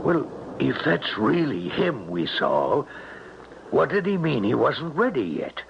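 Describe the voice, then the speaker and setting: An actor playing a distraught, haunted middle-aged father in a radio drama, speaking haltingly with stammers. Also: mid-range voice, big pitch swings, creaky, full character voice. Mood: grim, convinced. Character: distraught, haunted father fearing his son is dead. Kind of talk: old-time radio drama acting. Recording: dull, hissy vintage radio recording.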